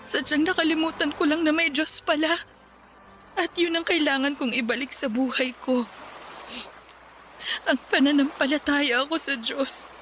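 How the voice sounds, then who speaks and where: A woman's voice: sits high, fairly even intonation, unhurried, long pauses, noisy background. A woman playing a guilt-ridden, withdrawn young woman in a radio drama, speaking politely and confessionally. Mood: resigned. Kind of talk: Filipino radio drama dialogue.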